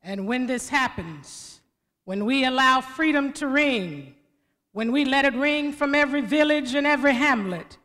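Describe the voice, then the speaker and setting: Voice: high-pitched, lively intonation, moderate pace, pushed and bright. A male voice delivering a dramatic speech reading at a commemorative event. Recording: reverberant hall.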